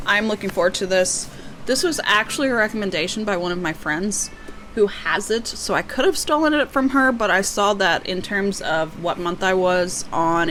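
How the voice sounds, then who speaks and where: A woman with a slightly hoarse, tiring voice, chatting casually and a bit self-deprecatingly as she presents an unboxing in a rambling way. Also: medium pitch, a bit bright, a little hiss or hum, rough recording. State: losing her voice, slightly hoarse. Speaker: book vlogger.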